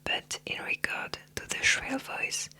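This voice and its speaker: Whispering reader as a measured, analytical detective; slow and breathy. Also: bright, forceful tone.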